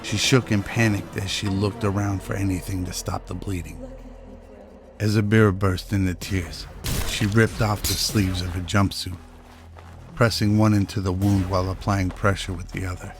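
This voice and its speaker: A man's voice: deep voice, level tone, moderate pace, music in the background. A man reading scripted narration for an action-filled radio drama as its storyteller.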